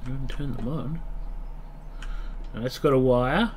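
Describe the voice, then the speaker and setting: A man's voice: medium pitch, a little slow, slight background noise. A man in his late thirties with a stuffy nose from a mild cold, thinking aloud as he talks viewers through a game. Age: late 30s. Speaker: let's play host. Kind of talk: casual gameplay commentary.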